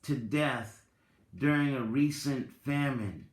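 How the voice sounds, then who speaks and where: A man giving a dramatic reading with spoken commentary, deliberate and halting, in short phrases. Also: mid-range voice. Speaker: reader, content creator. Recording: roomy, echoing voice recording.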